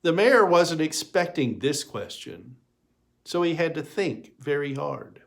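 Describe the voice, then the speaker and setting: Slow, very expressive male storyteller. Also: fairly high pitch, creaky. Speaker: minister reading a story aloud.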